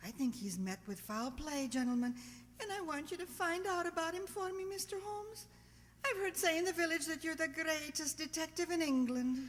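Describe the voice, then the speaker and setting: A woman's voice: very high-pitched, moderate pace. A woman playing an anxious, pleading older working-class village mother, earnest and rustic, in a radio drama. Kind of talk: amateur radio drama acting. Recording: long hall-like reverb.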